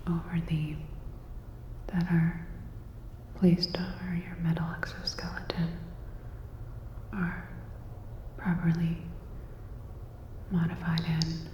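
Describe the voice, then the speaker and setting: A woman acts out a calm sci-fi repair technician in a whispered ASMR roleplay. The delivery is very even and unhurried, with soft clinical reassurance. It sits in a long, roomy reverb. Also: low voice. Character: calm, attentive female cyberpunk robot-repair technician. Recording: roomy reverberant sound, dull, faint noise.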